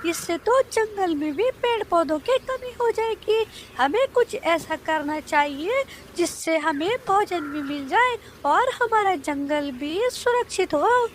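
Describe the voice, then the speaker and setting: A woman's voice: very high voice, animated, slow, slight background noise, full character voice. A woman voicing a hopeful, earnest young female bird in a children's cartoon. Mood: urgent, concerned. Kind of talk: Hindi children's moral cartoon dubbing.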